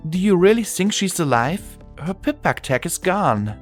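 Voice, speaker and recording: A man voicing a young female pony, a daughter who is cheeky and sulky, expressive in a storybook reading. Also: high-pitched, moderate pace, faint music behind, pitched above the performer's own voice. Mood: worried. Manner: pleading, sly, expressive.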